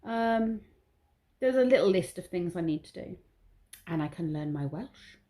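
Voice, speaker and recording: A woman chats to her viewers in an easygoing vlog, drifting from one thought to the next. Her voice is mellow and warm. She talks in a loose, rambling way and admits when she is waffling. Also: fairly low pitch, animated, measured pace. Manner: rambling, chatty, self-aware waffling. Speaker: vlogger. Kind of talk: casual vlog monologue.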